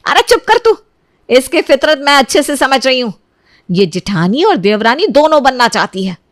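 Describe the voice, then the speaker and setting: A woman's voice: sits high, big pitch swings, moderate pace, no echo, studio quality. A woman voicing a vengeful, bullying older mother-in-law who scolds and accuses, in a moral story cartoon. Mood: harsh scolding. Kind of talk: acted dialogue in Hindi moral story cartoon.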